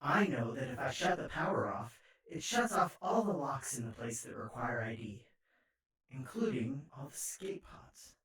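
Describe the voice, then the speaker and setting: Theatrical rogue AI, heavily echoing. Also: male voice, mid-range voice, sing-song, moderate pace, fairly bright tone. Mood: coolly calculating. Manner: theatrical, chatty, taunting. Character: smug rogue station AI, gleefully murderous, theatrical. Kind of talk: audio drama monologue. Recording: echoing, distant-sounding.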